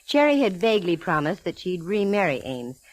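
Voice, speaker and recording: An actress playing a sharp, breezy female private eye in 1940s radio style, brisk and teasing. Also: lowish voice, sing-song, no room sound, low-quality recording. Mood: brisk, knowing. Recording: vintage 1940s radio recording.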